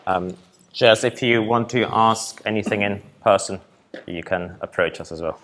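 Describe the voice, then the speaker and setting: A young man from poland, a presenter at a festival hall with a lowish voice. he talks in a loose, relaxed way, hesitating often and filling gaps with ums. his tone stays calm and low throughout. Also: normal speed, noisy background. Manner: relaxed, hesitant, many ums, light laughs. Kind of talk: conference talk with audience Q&A.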